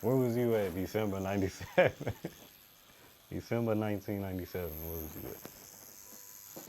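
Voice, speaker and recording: A young man in his twenties hosts a relaxed chat at his tattoo studio. His voice is very deep and mellow. He keeps his answers brief and easygoing, with a faint background hiss. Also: moderate pace. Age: 20s. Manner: laid-back, short replies. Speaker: host and tattoo artist. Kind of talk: casual conversation.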